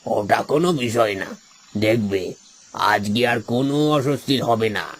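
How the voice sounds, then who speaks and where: A man plays a welcoming old grandfather from a cartoon village. He speaks at a medium pitch, drawing words out slowly and reassuringly. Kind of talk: cartoon voice acting.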